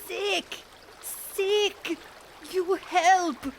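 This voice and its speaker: A woman playing a pleading village mother in an audio drama, speaking broken, halting phrases. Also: very high voice, fairly even intonation, heavily acted. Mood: desperate pleading. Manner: broken, halting speech in fragments. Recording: audio drama with noisy water ambience.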